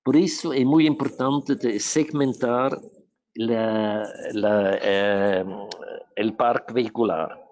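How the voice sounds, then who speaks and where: A middle-aged man from Switzerland, an urban planner giving a remote conference talk in Spanish mixed with Portuguese, halting with many restarts. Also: mid-range voice, steady tone, a little mellow, lo-fi sound. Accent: Swiss, Portuguese-tinged Spanish. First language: not Spanish; speaks Spanish mixed with Portuguese. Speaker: urban planner and mobility specialist, conference speaker.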